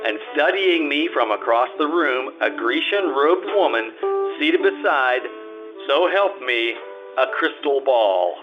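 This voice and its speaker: A man's voice: mid-range voice, lively intonation, slow pace. A man playing a wisecracking hardboiled private detective in an old-time radio drama, dry and sardonic. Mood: dry, world-weary. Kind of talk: old-time radio noir narration and dialogue. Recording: dull lo-fi, loud music behind.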